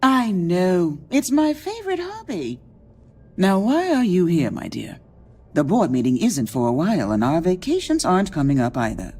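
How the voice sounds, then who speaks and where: A woman's voice: lowish voice, wildly swooping pitch, moderate pace, dark tone, slightly rough, full character voice. An actress playing a charming, teasing, cunning female executive in a video game, with a gushing, theatrical manner.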